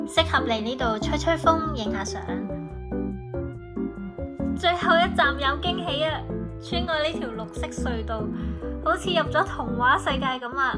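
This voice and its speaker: A woman narrating a travel vlog in a brisk, chatty tour-guide tone. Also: a little high. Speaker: travel vlogger. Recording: voice-over with loud background music.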